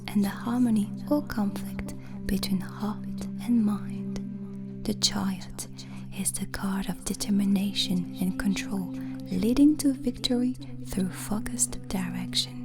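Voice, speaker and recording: A gentle witch, acted by a woman, whispers in a breathy, soothing voice for an ASMR roleplay. The delivery is slow and deliberate, welcoming a guest with care. Music plays clearly behind the whisper. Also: mid-range voice, close to an everyday voice. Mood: calm, instructive. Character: gentle, hospitable witch hosting a guest overnight.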